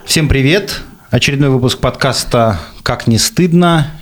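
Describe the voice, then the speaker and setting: A man's voice: mid-range voice, measured pace. A man in his forties hosting a podcast, talking in a casual, frank, joking way. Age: 40s. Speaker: podcast host.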